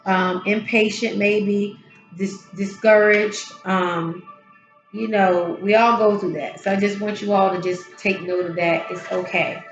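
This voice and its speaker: A woman hosting a tarot and spiritual channel, her voice a little hoarse, talking slowly and encouragingly from her home healing space. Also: mid-range voice, fairly even intonation, echoey room, muffled recording, soft background music. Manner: soft, unhurried, encouraging, with drawn-out pauses. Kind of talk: casual spiritual encouragement talk.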